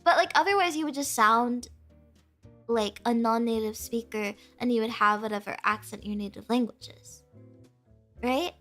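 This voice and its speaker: A young woman with a high, bright voice and a breezy Valley girl accent, her first language Spanish. She chats with her stream in a bubbly, giggly way, leaning hard on "like". Soft music plays faintly behind her. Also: normal speed. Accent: American Valley girl. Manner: chatty, filler-heavy "like", giggly, bubbly. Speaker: streamer reading chat. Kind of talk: casual stream chat.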